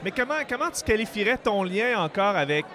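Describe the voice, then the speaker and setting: A man's voice: high voice, lively intonation, a little fast. A man casually interviewing guests with long conversational questions. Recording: busy crowd noise behind.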